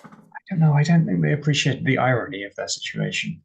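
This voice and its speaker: A man's voice: a little high, animated, quick pace, gravelly, breathy edge. A man playing a tabletop roleplaying game, speaking in character in a polite, understated way with formal wording. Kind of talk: in-character improvised dialogue.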